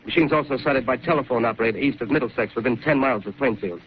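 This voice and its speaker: A man's voice: mid-range voice, rapid-fire, a little hiss or hum, natural voice. A man acting a radio announcer reading urgent war bulletins in a formal manner on a dull 1930s radio broadcast.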